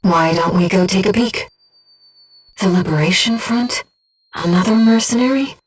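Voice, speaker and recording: A woman voicing a disembodied female guide who speaks in a pilot's head as mission operator in a video game, with a soft, breathy voice and a precise, earnest delivery. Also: mid-range voice, moderate pace, far from the mic, soft background music, lightly acted. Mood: composed, informative. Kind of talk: scripted video game voice lines, mission briefings and battle callouts.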